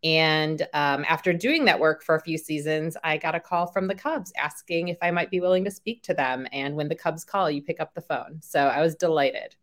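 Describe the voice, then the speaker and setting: A middle-aged woman with a low voice, interviewed as a sports marketing executive. She answers in a polished, friendly, professional way. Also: normal speed, hardly any pauses.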